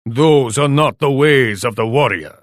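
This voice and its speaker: An actor playing a proud, imperious Japanese warlord in a video game, speaking formally. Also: male voice, mid-range voice, unhurried, no echo, studio quality, close to an everyday voice. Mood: menacing, scornful. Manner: imperious, formal, speaks of himself in third person. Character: proud, haughty Japanese warlord commanding his armies. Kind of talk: video game character voice lines.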